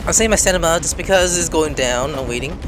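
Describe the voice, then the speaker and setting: A man's voice: a little high, fast talker, over music. A man hosting a gaming video with casual, slangy commentary, reacting aloud and talking to the game as he plays.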